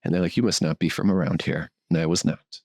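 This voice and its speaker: A man's voice: deep voice, fairly even intonation, fast talker, a little mellow, no room sound. A man in his thirties, born in Brazil and raised in Miami, telling his story as a guest on a podcast in a chatty, upbeat way with self-deprecating humor. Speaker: fertility doctor, guest. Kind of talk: relaxed podcast interview.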